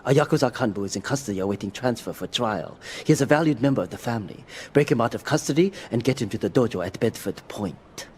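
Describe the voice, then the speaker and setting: Proud yakuza boss played by a man, expressive delivery. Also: mid-range voice, a little hiss or hum, natural voice. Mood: formal, disdainful. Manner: formal, haughty, measured. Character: proud, stern, formal Japanese yakuza boss. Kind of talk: video game cutscene acting.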